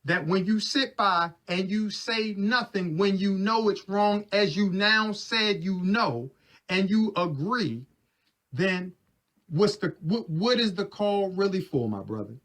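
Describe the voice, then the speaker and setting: Insistent man with a high-pitched voice. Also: animated, slow, a bit bright. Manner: insistent, repetitive questioning. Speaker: caller in a heated phone dispute. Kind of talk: argumentative phone conversation. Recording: leaked recording of a phone call.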